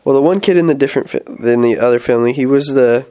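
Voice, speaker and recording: A man's voice: mid-range voice, fast, dark tone, low-quality recording. A young man on a mission, talking casually through his week with many fillers and restarts while stuffy with a head cold. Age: young adult. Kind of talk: casual weekly update vlog.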